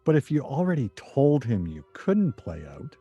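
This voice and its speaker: A man's voice: mid-range voice, sing-song, moderate pace, mellow, breathy edge, dry recording, lo-fi sound, soft background music. A man acting in a radio play as a plain-spoken, grumbling friend at a game table, blunt and skeptical.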